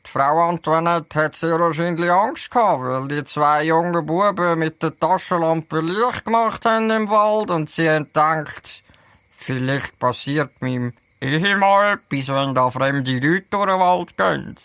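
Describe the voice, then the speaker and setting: A man plays an earnest Swiss German detective sidekick in an audio play. His voice sits fairly high and he explains things in a matter-of-fact way. The sound is close and dry. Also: unhurried, a bit bright, clear, resonant tone, dry recording. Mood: knowing, matter-of-fact. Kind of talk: children's audio play acting.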